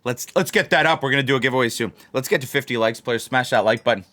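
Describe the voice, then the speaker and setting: A man's voice: mid-range voice, quick pace, pushed and bright. A man hosts a casual livestream, chatting to viewers in a rambling way and repeating phrases for emphasis. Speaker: livestream host of a blackjack channel.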